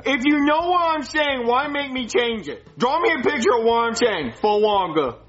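A man playing a stubborn, simple-minded little boy in a comedy skit, insistent, repetitive and quick to yell. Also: very high-pitched, animated, dull audio. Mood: childlike, matter-of-fact.

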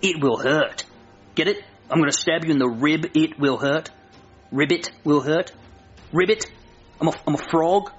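A man's voice: on the high side, normal speed, long pauses, breathy edge, faint music behind. A man voicing a menacing, theatrical talking frog villain who taunts gleefully and draws out his threats in a comedy skit. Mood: taunting. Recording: roomy echo, dull lo-fi sound.

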